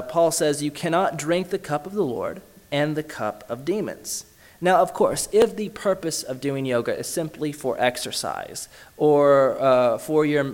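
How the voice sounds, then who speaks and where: Earnest man teaching on scripture. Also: mid-range voice. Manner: earnest, many fillers and restarts, quotes scripture. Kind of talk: unscripted Bible teaching.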